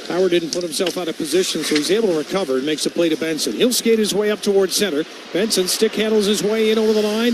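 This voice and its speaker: A man's voice: high voice, background noise. A man with a North American accent calling live hockey play-by-play for radio from an arena booth. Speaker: play-by-play commentator.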